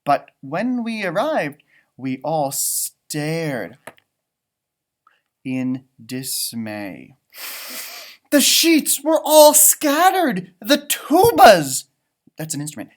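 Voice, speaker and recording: A man reading a picture book aloud to young children as a teacher, with expressive asides and questions to his listeners. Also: very high voice. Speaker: elementary school teacher reading storybooks aloud.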